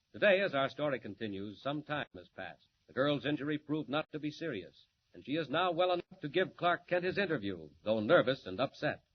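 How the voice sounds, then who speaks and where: Husky old-time radio announcer guy, big swoopy delivery, dull old sound. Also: fairly high pitch, a little hiss or hum. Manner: dramatic, emphatic announcing. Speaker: announcer and narrator. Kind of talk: 1940s radio narration.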